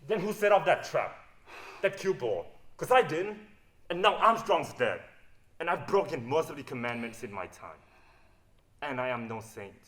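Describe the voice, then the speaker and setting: A man's voice: fairly high pitch, expressive, moderate pace, a bit bright. A young man acting a roguish, bitter adventurer in a stage play, dramatic and confrontational. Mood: angry, defensive. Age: young adult. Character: roguish, cynical male adventurer, defensive and bitter. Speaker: student stage actor.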